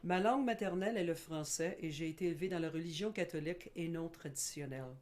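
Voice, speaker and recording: Middle-aged woman, low warm timbre, measured presenter pacing. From Quebec. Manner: slow, measured, with frequent pauses and fillers. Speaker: guest speaker. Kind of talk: webinar presentation; scripted narration in the video part.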